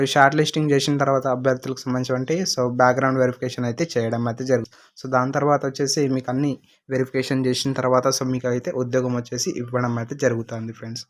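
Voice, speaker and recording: A man with a Telugu accent presenting job information as an online host, mixing in English terms and addressing listeners as friends. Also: mid-range voice. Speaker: job-news YouTube host. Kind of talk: informational narration of a job notification.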